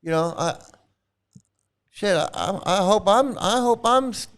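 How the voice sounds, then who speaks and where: A middle-aged man rants in loose commentary, scornful and profane, circling back to the same words again and again. His voice sits high for a man and comes through smooth and clear. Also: lively intonation, measured pace. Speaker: commentary channel host. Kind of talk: casual ranting commentary.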